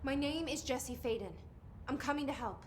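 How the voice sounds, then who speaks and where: A woman's voice: sits high, normal speed, a little mellow, slight background noise, natural voice. An actress playing an earnest, determined young woman in a video game, sincere and understated in delivery. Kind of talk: video game cutscene acting.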